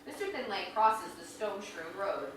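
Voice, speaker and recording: Bright-voiced woman reading stage directions, echoey. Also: medium pitch, sing-song, normal speed. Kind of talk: scripted narration of stage directions. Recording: distant, very echoey room, faint noise.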